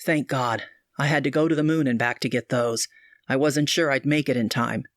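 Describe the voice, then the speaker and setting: A woman voicing an earnest young male doctor who speaks low, grave and pleading. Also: moderate pace, no room sound, clean studio sound. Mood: relieved.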